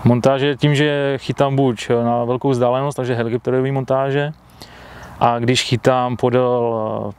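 Flat-spoken man in his thirties, outdoors. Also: mid-range voice, clean tone. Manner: plain, matter-of-fact, flat delivery. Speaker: carp angler being interviewed. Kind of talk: relaxed outdoor interview. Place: outdoors by a lake, seated at a fishing bivvy.

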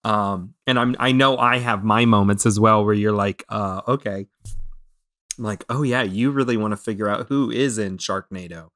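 A man's voice: on the low side, moderate pace, fairly bright tone. Enthusiastic American man chatting about films. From American Midwest. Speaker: podcast co-host. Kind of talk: casual film discussion. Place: small room at home, indoors. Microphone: close podcast microphone with headphones.

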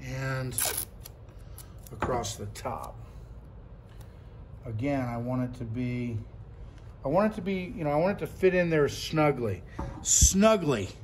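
A man's voice: medium pitch, slow, pauses often. Man in his fifties, chatty hands-on demo, classroom table, faint room noise. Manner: chatty, step-by-step, repeats himself. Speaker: product reviewer. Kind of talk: casual product demo.

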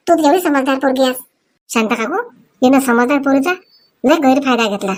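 Cartoon village scold, high-pitched, excitable, Vidarbha Marathi accent, voiced by a woman. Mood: aggrieved. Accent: Marathi, Vidarbha dialect. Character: excitable, quarrelsome village women in a family comedy. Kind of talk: cartoon comedy dialogue.

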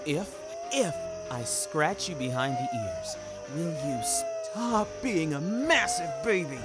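A man's voice: high-pitched, wildly swooping pitch, slow pace, pitched above the performer's own voice, heavily acted. A man acting out an exasperated, sarcastic male hero in an audio story, indignant and pleading. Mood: reluctant, resolute. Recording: loud music behind the voice.

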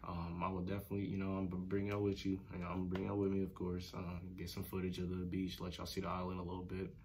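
A young man with a fairly low voice chats to camera in a casual vlog. He is laid-back and slangy, addressing viewers directly, with clear noise behind him on the camera audio. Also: monotone, quick pace. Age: young adult. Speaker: vlogger. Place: small bedroom indoors.

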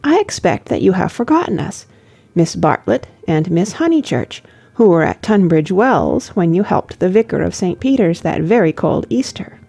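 A woman narrator gives voice to a prim spinster chaperone in a classic novel. She is restrained and formal, quietly controlling, with a mellow, creaky tone. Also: lowish voice, very animated, normal speed, heavily acted. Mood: restrained politeness.

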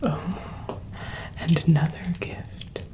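A young woman whispering slowly and soothingly in an ASMR roleplay as a doting witch, close to the microphone. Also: lowish voice, steady tone, warm tone. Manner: whispering, slow, soothing, drawn-out. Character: doting, mischievous witch pampering her cat familiar. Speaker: ASMR performer.

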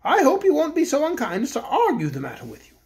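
A woman voicing a stately male toy bear king who speaks in a measured, reflective way. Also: high-pitched, wildly swooping pitch, darkish tone, voice pushed up, full character voice. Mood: calm regal. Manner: measured and reflective, slightly grand.

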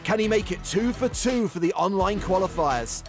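Upbeat guy calling the poker action, lively voice, light music under. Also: sits high, normal speed. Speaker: commentator. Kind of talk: broadcast commentary. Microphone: studio voice-over.

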